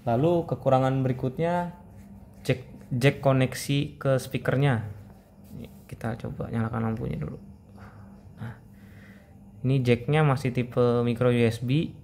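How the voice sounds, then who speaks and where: A man's voice: mid-range voice, measured pace, pauses often, darkish tone, slight background noise. A man giving a casual product review, explaining things informally as if to friends.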